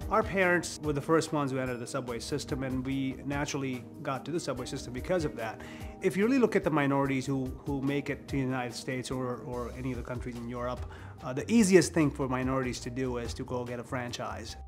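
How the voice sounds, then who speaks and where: A man's voice: mid-range voice, quick pace, music in the background. A man speaking as a second-generation franchise owner in an interview. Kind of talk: interview testimonial.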